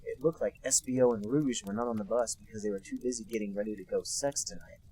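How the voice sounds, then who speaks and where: A man co-hosting a podcast, reading a bad fan story aloud in a deadpan, mock-serious way. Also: on the low side, fast, husky. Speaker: podcast co-host reading a fanfic. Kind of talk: comic dramatic reading.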